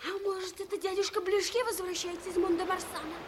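Woman voicing a jittery young boy, very high. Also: fast talker, noisy background. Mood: nervous. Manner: anxious, whispering at times, excitable. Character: timid, excitable young boy sidekick. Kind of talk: 1950s radio play acting.

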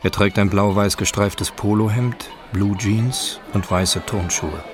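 A man reading scripted, scene-setting narration for a documentary radio drama in a measured, descriptive way. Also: lowish voice, fairly even intonation, soft background music.

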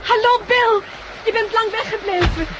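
A woman's voice: very high voice, moderate pace. An actress playing a loving, tender woman in a 1960s Dutch radio play, on a dull, noisy recording. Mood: emotional, tender.

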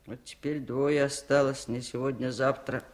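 An actress playing a lonely, wise old village aunt in a 1970s radio play, with plain-spoken, folksy, wry delivery. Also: female voice, a bass voice, steady tone, moderate pace. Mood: sorrowful. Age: older. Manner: plain-spoken folksy musing, wryly stoic. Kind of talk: 1970s radio drama acting.

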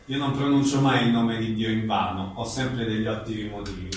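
A man's voice: fairly low pitch, fairly even intonation, a little fast. A man, interviewing in a casual, appreciative way and quoting lines back. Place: echoing venue at a book presentation. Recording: very echoey room, distant mic, faint noise.

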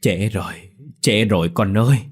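A man's voice: lowish voice, fast, husky. Man voicing a grave, protective village elder. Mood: sorrowful. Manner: grave, bitter, firm.

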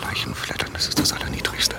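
An actor playing an uneasy man in a radio drama, whispering his fragmented inner thoughts while forcing himself to stay composed. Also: natural voice. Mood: anxious, brooding. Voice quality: breathy whisper. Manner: hushed, fragmented, halting phrases.

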